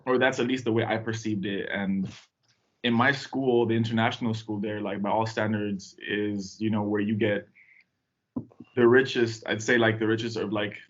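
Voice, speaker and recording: A young man from Tanzania, a podcast guest, telling his story in a relaxed, rambling way with lots of fillers. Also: lowish voice, normal speed, lo-fi sound. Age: young adult. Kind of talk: casual podcast chat.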